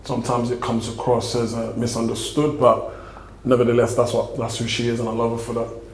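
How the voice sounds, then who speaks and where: Casting note: young South London man, gravelly and monotone, loose rambling talk, roomy echo. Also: medium pitch, fairly quick. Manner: laid-back, rambling answers. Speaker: guest. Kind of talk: casual interview. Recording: echoey room with faint noise.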